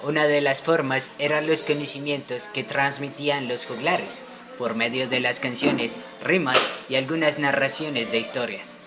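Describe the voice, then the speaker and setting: Male actor as learned teacher, medium pitch, didactic, educational radio drama, prominent music. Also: steady tone, normal speed, lightly acted. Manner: didactic, scripted. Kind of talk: amateur educational radio play.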